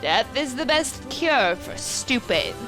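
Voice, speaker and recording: A woman voicing a fierce, protective old village grandmother who speaks slowly and gruffly. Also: sits high, sing-song, music in the background, voice pushed up, exaggerated voice. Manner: slow, gruff, rough-spoken.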